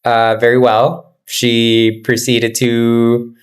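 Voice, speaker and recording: A young adult man from Miami, a podcast guest telling stories in an animated, laughing way. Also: medium pitch, extremely slow. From Miami, USA. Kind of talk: casual chat.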